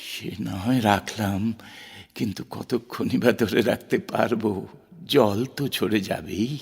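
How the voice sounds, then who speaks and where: A man playing a weary, sentimental older poet in an audio drama, with a halting, wistful, lyrical delivery. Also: on the low side, steady tone, gravelly, breathy edge, heavily acted. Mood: tender, lyrical. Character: weary, gentle, sentimental ageing male poet. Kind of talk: Bengali audio drama acting. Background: background music.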